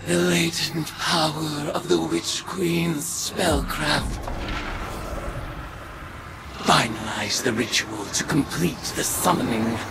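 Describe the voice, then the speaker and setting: A vengeful witch turned dark god from a video game. She intones her words slowly and grandly, like an incantation, with solemn menace. Loud music swells underneath. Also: deep voice, fairly even intonation, gravelly, exaggerated voice. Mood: menacing, exalted. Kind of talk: theatrical game voice acting.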